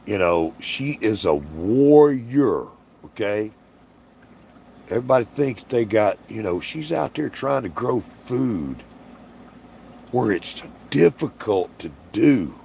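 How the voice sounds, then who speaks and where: A man's voice: lowish voice, big pitch swings, slow pace, creaky, warm tone, slight background noise. A man hosting a casual livestream Q&A, folksy and rambling, reading out viewer comments in a tired, strained voice. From Alabama, US. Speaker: livestream host.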